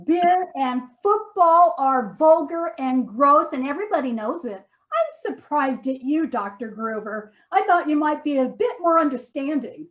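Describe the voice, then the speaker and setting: Comedy sketch, actress as self-righteous, exasperated wife. High voice with big swoops, nagging and theatrical. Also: female voice, expressive, a little slow, hardly any pauses, poor audio. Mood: offended. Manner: dramatic, exasperated, repeats herself.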